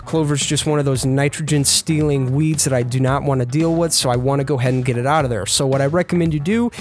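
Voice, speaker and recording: A man's voice: medium pitch, steady tone, fast talker. A man hosting an upbeat how-to tutorial on lawn care, chatty and talking straight to his viewers as "you guys.".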